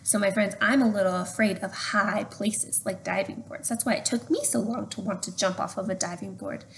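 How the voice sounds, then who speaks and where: A young woman doing an expressive read-aloud for children, with chatty questions to her listeners. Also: mid-range voice, normal speed. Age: young adult. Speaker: storyteller reading to children. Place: open living room at home. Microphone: webcam at arm's length. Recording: slightly noisy home webcam recording.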